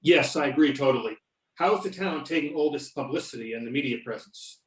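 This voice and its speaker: A man's voice: medium pitch, fairly quick, lightly acted. A man playing a courteous, inquisitive investigator in an amateur readers theater, businesslike, recorded in a roomy echo. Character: courteous male investigator questioning witnesses. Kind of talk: amateur readers theater reading over videoconference.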